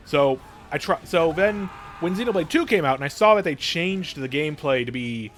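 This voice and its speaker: A man's voice: a little high, animated, normal speed. A man giving casual, rambling live-stream commentary, often circling back to rephrase, in informal and occasionally profane language. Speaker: streamer hosting a game stream. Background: game music.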